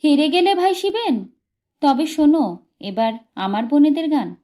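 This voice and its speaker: A woman's voice: expressive, measured pace, dark tone. A woman voicing a bold, teasing female in-law who banters pertly in a wedding story audiobook. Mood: mock challenge. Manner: pert, high-pitched banter.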